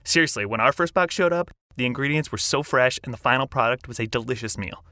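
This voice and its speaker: A man reads a scripted sponsor spot for a podcast. His voice is bright and mid-pitched, with a dry sound free of room echo. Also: fast talker. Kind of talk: scripted advertisement.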